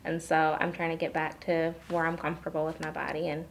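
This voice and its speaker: A woman in her late twenties speaks plainly with a few fillers. Her voice is a little low, with a gravelly rasp. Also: monotone, fairly quick, slight background noise, poor audio. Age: 20s. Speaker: challenge participant.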